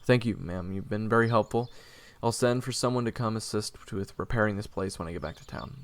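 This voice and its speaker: Guy doing a calm courteous investigator, low voice, lots of echo, audio drama style. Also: close to an everyday voice. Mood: polite. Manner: measured, polite, low-key. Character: calm, courteous male investigator telling his own tale. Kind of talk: audio drama dialogue and first-person narration. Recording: echoey, long reverb, music behind.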